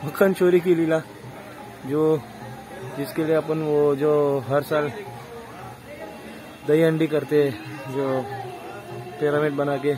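A man's voice: a little high, level tone, slow pace, pauses often, music in the background, crowd noise. A man vlogging a walking tour outdoors at a marble temple, explaining the wall paintings in a plain, casual way. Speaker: travel vlogger. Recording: noisy outdoor phone recording.

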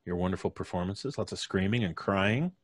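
A man's voice: low voice, fairly quick, slightly rough. A middle-aged man hosting a live stream with casual, jokey banter, close on a studio mic. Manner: jokey, playful. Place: indoor home studio. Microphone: studio mic on boom arm, headphones.

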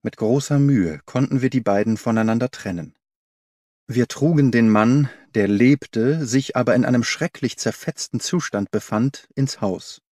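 A man's voice: mid-range voice, measured pace, darkish tone, dry recording. Male audiobook narrator, very dry sound. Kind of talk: scripted literary narration.

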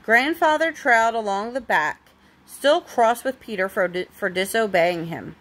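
A woman's voice: mid-range voice, normal speed, a bit bright. A woman reading a storybook aloud expressively to a young child, as a parent does at bedtime.

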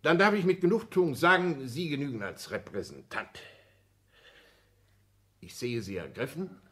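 A courtly aristocratic father in a sixties radio play. He speaks in ornate, deliberate phrases at a medium pitch, pompous and a little condescending. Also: animated, a bit bright. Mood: gracious, approving. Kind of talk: 1960s German radio play acting.

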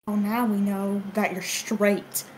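A woman's voice: medium pitch, level tone, takes it slowly, a little mellow, a little hiss or hum, rough recording. A woman improvising a joking cartoon fandub in a giggly, theatrical manner. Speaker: amateur fandub voice actor.

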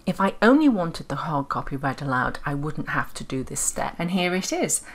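A woman presents a software tutorial in a low voice. She explains each step as an instructional voice-over. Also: sing-song, moderate pace.

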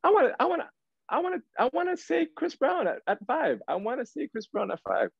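A man, chatting casually as an interview guest in a halting, slangy way on a video call. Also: very high voice, sing-song, vocal fry, slightly rough, dry recording, muffled recording. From Canada, raised in Toronto. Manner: halting, slangy, searching for words. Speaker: guest R&B singer. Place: small room, indoors. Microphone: video call, device at arm's length.

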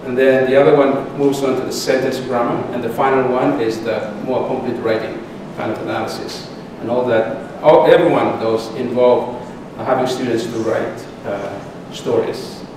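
A middle-aged man, a lecturer taking audience questions in measured speech with pauses and restarts. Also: mid-range voice, fairly even intonation. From Solomon Islands. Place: large lecture theatre. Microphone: distant room mic. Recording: echoey hall from far off, background noise.

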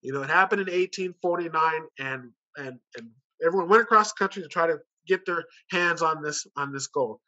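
A man with a fairly high, very bright and forceful voice gives a sales pitch at an online conference. He talks conversationally and persuasively, throwing out rhetorical questions. The sound is a slightly dull video call. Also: brisk. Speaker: company director giving a sales pitch. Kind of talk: informal online conference presentation.

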